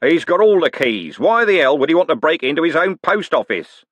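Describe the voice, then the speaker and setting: A blunt, bossy working-class coachman, as a male narrator puts him on, a little higher and louder than the reader's own voice. He barks orders and swears freely, then puts on exaggerated politeness. The recording is dry. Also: sing-song, fairly quick, dry recording. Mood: scornful. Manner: gruff, rough-spoken, mock-polite to superiors.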